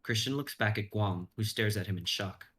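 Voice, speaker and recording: A man's voice: on the low side, normal speed, fairly bright tone. A young man reading story narration aloud in an intense, suspenseful manner. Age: young adult. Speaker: stream host and narrator.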